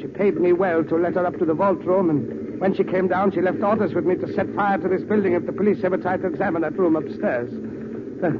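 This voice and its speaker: An actor playing a frightened, shifty foreign manservant in a 1940s radio drama, speaking halting broken English. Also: male voice, high-pitched, fairly even intonation, lightly acted. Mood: terrified, pleading. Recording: muffled, noisy 1940s radio recording.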